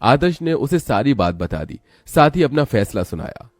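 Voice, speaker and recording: A man's voice: medium pitch, very animated, moderate pace, dry recording, studio quality. A man narrating a scripted, dramatic story as a storyteller.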